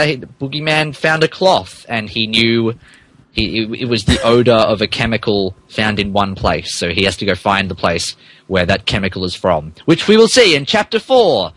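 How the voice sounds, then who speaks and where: A man's voice: medium pitch, fairly bright tone, dry recording, rough recording. A man hosting and narrating, moving between casual chat and mock dramatic reading, amused and stumbling over garbled text. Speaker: podcast host and narrator.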